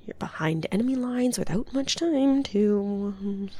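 A woman's voice: medium pitch, animated, unhurried, warm tone. A woman playing an anxious undercover agent in an audio drama, muttering to herself between whispers and bravado. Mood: nervous, hushed.

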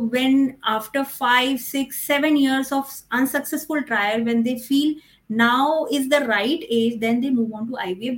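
Female medical expert for a podcast interview, Indian accent, slightly high voice, explains at length. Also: low-quality recording. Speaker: fertility doctor, podcast guest.

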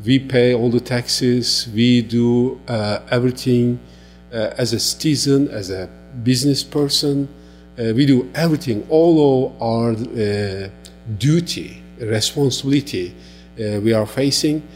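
A man from Turkey with a Turkish accent, speaking halting, earnest non-native English as an interview guest giving testimony. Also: mid-range voice, soft background music.

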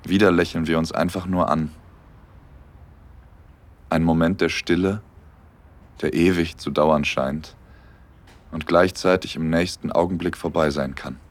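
A man's voice: sits low, steady tone, a little slow, pauses often. An actor playing a smitten, romantic young man, tender and intimate, in a first-person audio drama. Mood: longing, intimate.

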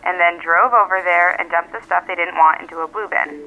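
A woman's voice: fairly low pitch, fairly even intonation, fairly quick, slight background noise. An actress playing a self-assured, blunt woman in an audio drama, heard through a telephone filter, dry and direct. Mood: matter-of-fact. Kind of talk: naturalistic audio drama acting. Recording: thin filtered telephone line.

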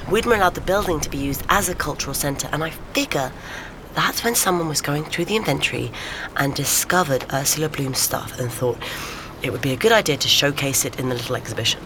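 An actress playing an eager amateur sleuth in an audio drama, talking through theories in an animated, insistent, conversational way. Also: female voice, low-pitched, a little fast, close to an everyday voice. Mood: intrigued. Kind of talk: naturalistic audio drama dialogue. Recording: clear background ambience noise.

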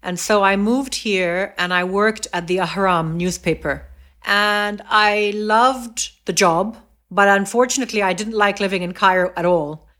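A woman's voice: mid-range voice, fairly even intonation, unhurried, a bit bright. A middle-aged woman from Egypt, raised abroad, speaking as a podcast guest. She talks reflectively, with many fillers and restarts. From Egypt, raised in the US, Saudi Arabia and England. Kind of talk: reflective interview conversation.

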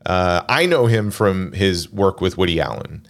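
Low-voiced, lively joking man. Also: a little slow. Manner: jokey, rambling, self-deprecating. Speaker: podcast host. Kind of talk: casual movie podcast chat.

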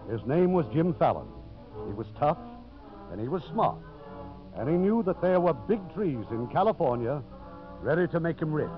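Male vintage radio host-narrator, lively intonation, slightly high, muffled broadcast sound. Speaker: producer and host of radio theater. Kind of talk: 1950s radio scripted narration. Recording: muffled old radio recording, faint music.

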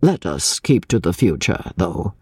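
A woman's voice: a bass voice, big pitch swings, a little mellow, slightly rough, no room sound, studio quality, voice pushed down, full character voice. A woman reading a storybook as a courteous, earnest and puzzled clergyman who speaks hesitantly. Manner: hesitant, halting, deliberate.

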